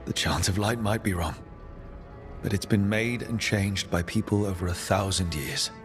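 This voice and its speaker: A low-pitched male actor as a fantasy game leader speaks with measured, earnest reassurance. He sounds steady and reasonable, with music playing prominently behind him. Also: natural voice. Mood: reassuring. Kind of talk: video game voice acting.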